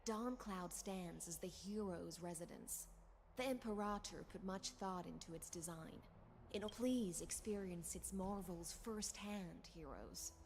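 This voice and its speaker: A woman playing a composed, courtly knight commander in a video game, speaking in a measured, formal way. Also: fairly low pitch, crowd noise.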